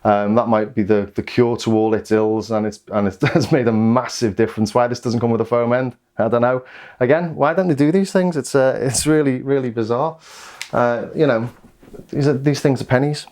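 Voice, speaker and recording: A man's voice: mid-range voice, fairly even intonation, moderate pace, a little mellow. A British man giving a casual, rambling product overview as a gear reviewer.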